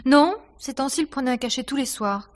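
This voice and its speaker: An actress playing a self-possessed, sardonic theatre star under suspicion in a 1950s-style French radio mystery, with a dry, poised delivery. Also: female voice, high-pitched, fairly quick, close to an everyday voice. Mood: matter-of-fact. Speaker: radio drama actor.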